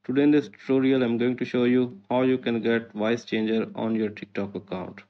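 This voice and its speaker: A man's voice: medium pitch, level tone, fairly quick. A man hosting a tech tutorial, reading out scripted step-by-step instructions. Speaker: host of a tech tutorial channel. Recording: muffled, dull lo-fi audio.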